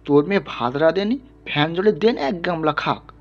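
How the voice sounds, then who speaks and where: A loud, hectoring Brahmin priest played by a male reader, angry and scornful, in an audio story. His pitch rides high and swings widely. The sound is a little muffled, with music behind. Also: expressive, normal speed. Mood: grudging.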